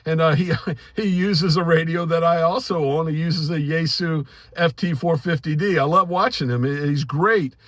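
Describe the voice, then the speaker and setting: This is a middle-aged American man with a notably high voice for a male speaker. He talks to viewers like a hobbyist YouTuber, informal, opinionated and emphatic, wandering from point to point. The sound is dry, with very little room in it. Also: steady tone, normal speed, a bit bright, dry recording. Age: middle-aged or older. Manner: informal, emphatic, rambling with "you know" and "man". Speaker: ham radio YouTuber.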